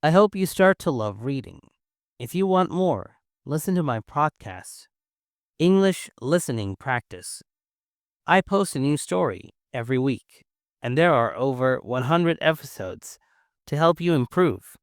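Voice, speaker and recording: A young man with a Chinese accent, Mandarin his first language, gives an encouraging English lesson in plain, simple words. His voice sits fairly high and moves a great deal in pitch. The sound is dry and close, with no room echo. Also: very animated, measured pace. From China. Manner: encouraging, clear and simple phrasing. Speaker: English teacher. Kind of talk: scripted English-learning lesson.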